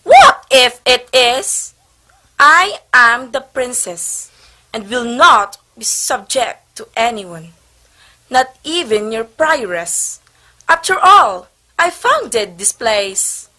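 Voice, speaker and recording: Imperious, self-willed princess woman actor, stage play; slow delivery, sharp bright tone. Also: fairly high pitch, sing-song, exaggerated voice. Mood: defiant. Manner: imperious, demanding. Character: haughty, self-willed Spanish princess demanding her way. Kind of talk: amateur historical stage play acting.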